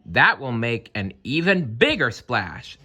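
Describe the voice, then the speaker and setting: A man's voice: mid-range voice, big pitch swings, slow, pushed and bright, heavily acted. A man voicing a cruel, boastful storybook circus clown who gloats with glee. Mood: gleeful gloating.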